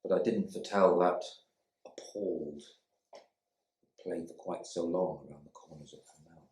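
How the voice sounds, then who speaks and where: A man playing a bitter, stiff husband back from war in a dramatic monologue, with clipped, halting, disdainful phrasing. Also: deep voice, a little mellow, natural voice. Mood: wry, brooding. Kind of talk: dramatic monologue reading from a novel. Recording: roomy indoor echo.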